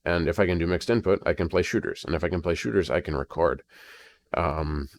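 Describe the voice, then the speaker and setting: An American man with an extremely low voice talks through a casual solo audio log. He rambles hesitantly and sounds resigned. The home computer recording has some crackling. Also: fairly quick. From Maine, United States.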